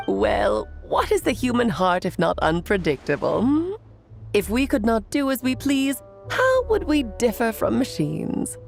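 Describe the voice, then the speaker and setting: A playful great mage speaks in a fairly high, theatrical voice, proud of herself and fond of teasing. Her pitch moves somewhat freely in breezy video game delivery, over faint music. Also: sing-song, measured pace, vocal fry, heavily acted. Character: playful, self-admiring great mage, glamorous mischievous mother.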